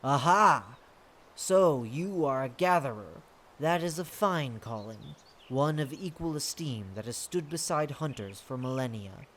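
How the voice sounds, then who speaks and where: A performer voicing a commanding, gracious fae monarch in a formal, measured radio-play manner. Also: expressive, darkish tone, a little hiss or hum, natural voice. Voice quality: deep.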